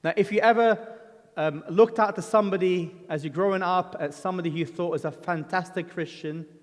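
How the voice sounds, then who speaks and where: A middle-aged man from Lebanon preaches in a church hall, and his voice carries a long reverb. His pitch sits a little high for a man. He tells his own story earnestly and conversationally, with ums and restarts. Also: brisk. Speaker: guest preacher. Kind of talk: sermon with personal testimony.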